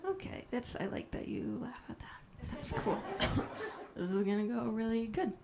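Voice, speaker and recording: Soft-spoken, gravelly deadpan woman comic. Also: on the high side, moderate pace, in a whisper. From Minnesota. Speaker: stand-up comedian. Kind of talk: stand-up set. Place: comedy club stage before a live audience. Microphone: handheld stage mic.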